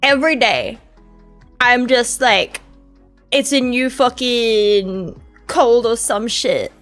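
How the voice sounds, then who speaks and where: A woman streamer with a sore throat chats casually, rambling and swearing. Her voice sits high. Faint music plays in the background. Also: slow pace, a bit bright. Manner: rambling, swearing, laughing chatter.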